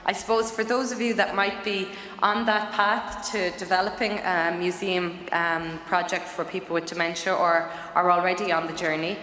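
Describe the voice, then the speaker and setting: A woman's voice: lowish voice, a bit bright. Woman presenting in an echoey hall. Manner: earnest, with frequent fillers. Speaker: conference speaker. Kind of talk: conference presentation. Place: conference hall. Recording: echoey hall, faint noise.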